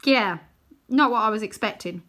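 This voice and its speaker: A woman's voice: on the high side, normal speed, pushed and bright. A woman voicing a stubborn, scornful girl in a storybook, firm and sceptical in manner. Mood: unimpressed, sceptical. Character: stubborn, bossy, scornful girl, a twin sister.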